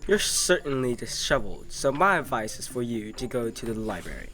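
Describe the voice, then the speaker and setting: A man's voice: medium pitch, sing-song, fairly quick, pushed and bright, soft background music. A man playing a condescending, fussy resident of a mystical realm in an audio drama, politely dismissive.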